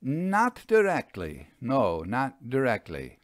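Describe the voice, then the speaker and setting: A man's voice: medium pitch, huge pitch swings, slow pace, no echo, studio quality. A man playing a proud stage magician in a storybook, speaking in florid, formal phrases with coy hesitations. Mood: guarded. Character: famous male stage magician, proud, theatrical, evasively apologetic. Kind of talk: dramatized audiobook storytelling.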